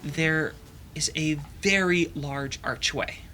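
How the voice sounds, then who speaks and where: Man game master, bright and a bit high, sniffly, unhurried scene-setting over faint music. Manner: descriptive, halting with fillers. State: sniffly from allergies. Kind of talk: narrating a tabletop game. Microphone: desk microphone.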